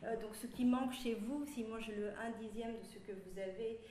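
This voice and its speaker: A woman's voice: fairly high pitch, a little fast, mellow, slight background noise. A woman from Turkey speaking in a public discussion, with many hesitations and fillers. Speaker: panelist. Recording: roomy, distant from the mic.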